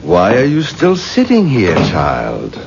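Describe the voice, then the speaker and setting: A man's voice: lowish voice, huge pitch swings, takes it slowly, creaky, heavy background noise, heavily acted. An actor playing a bustling, hearty middle-aged father of the bride in a 1970s radio drama. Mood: gentle, indulgent. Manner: hearty, fussing, theatrical. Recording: muffled old radio broadcast.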